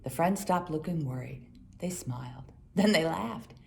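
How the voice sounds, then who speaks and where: A woman reading a children's Bible story aloud in an expressive storytelling manner. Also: sits low, unhurried, gravelly. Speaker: storybook reader.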